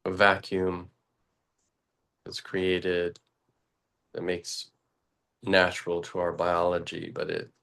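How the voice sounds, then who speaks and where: Male meditation teacher, very low and level voice, unhurried halting delivery with long pauses. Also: gravelly, clear, resonant tone. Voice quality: very low, flat voice. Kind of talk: guided meditation.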